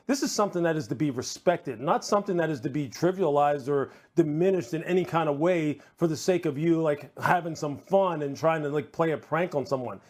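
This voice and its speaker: Male TV sports analyst, slightly high register, sincere and discursive, unscripted delivery with emphatic repetition. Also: brisk, hardly any pauses, a little mellow. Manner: earnest, discursive, repeating phrases for emphasis. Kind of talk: unscripted TV panel commentary.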